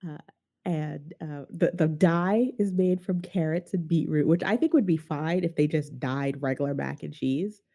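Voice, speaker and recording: A woman's voice: low voice, moderate pace, warm tone. A woman hosting a podcast in casual chat, sounding nasal and stuffed up from a sinus infection, wry and rambling and laughing often. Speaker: podcast host.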